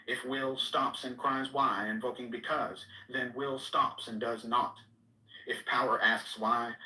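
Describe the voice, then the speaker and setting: A man's voice: mid-range voice, pushed and bright. A man giving a solemn, declamatory reading of archaic mystical scripture. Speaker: reader. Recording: echoey room, distant mic.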